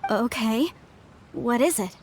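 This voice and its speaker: High, eager young woman archaeologist, game dialogue, light score behind. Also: expressive, slow, a little mellow, a little hiss or hum. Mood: earnest. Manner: earnest and easily stirred. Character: earnest young female archaeologist, idealistic, emotional. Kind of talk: video game voice acting. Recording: game score playing faintly.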